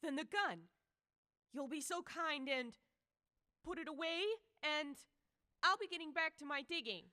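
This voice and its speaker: A woman's voice: high-pitched, a little slow, sharp tone. A woman playing a bitter, grumbling, folksy old peasant woman in old-time radio horror style. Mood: frightened pleading. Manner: querulous, scolding, folksy.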